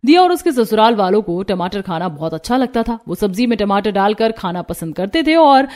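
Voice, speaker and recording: Woman narrator, medium pitch, scripted storytelling, cartoon tale. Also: sing-song, fairly quick, darkish tone.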